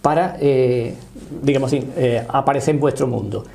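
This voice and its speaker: Gravelly older man from Spain. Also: medium pitch, warm tone. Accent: Spanish. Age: 60s. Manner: halting, often seeking confirmation. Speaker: interview guest, paranormal voice researcher. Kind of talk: conversational storytelling and explanation.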